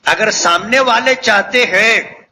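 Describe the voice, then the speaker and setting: High-pitched, slow preacher man. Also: sharp tone, a little hiss or hum. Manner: earnest, measured, repeats phrases for emphasis. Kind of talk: religious sermon to a congregation.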